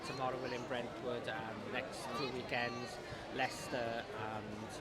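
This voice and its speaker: A man's voice: mid-range voice, flat delivery, unhurried, over a noisy crowd. Chatty man with a Cardiff accent. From Wales. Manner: chatty, upbeat, rambling. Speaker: stall owner. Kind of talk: casual interview at a convention. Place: busy convention hall. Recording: loud background noise.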